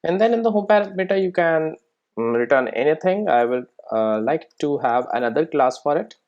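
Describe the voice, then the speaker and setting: A man's voice: a little high, expressive, normal speed, a little mellow, muffled recording. Male coding tutorial presenter, conversational. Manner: conversational, explanatory, often tagging sentences with "right?" and "okay?". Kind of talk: informal screencast coding tutorial.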